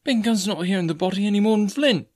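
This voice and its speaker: A man's voice: high-pitched, quick pace, darkish tone, pitched above the performer's own voice. A man voicing a pious, frightened young male pirate with a raised, questioning voice. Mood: doubtful.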